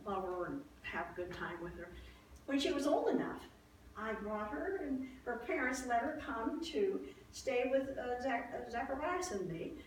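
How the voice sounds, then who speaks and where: A woman portrays a wistful, aging wife of an ancient Judean priest in a live Bible dramatization. Her voice is fairly high, and she tells her story in a folksy, halting, emphatic way. The sound is very echoey and picked up from a distance, with faint noise. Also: close to an everyday voice. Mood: tender, reflective. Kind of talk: first-person dramatized Bible storytelling to an audience.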